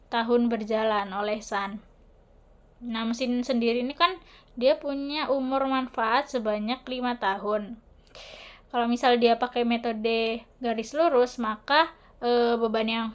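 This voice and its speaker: A young adult woman giving an explanatory class presentation as a student, with a halting, hesitant delivery. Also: a little high.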